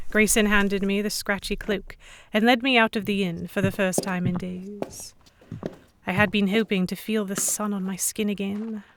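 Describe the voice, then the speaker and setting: A woman narrating a scripted first-person audio drama in an expressive, dramatic storytelling manner. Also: mid-range voice.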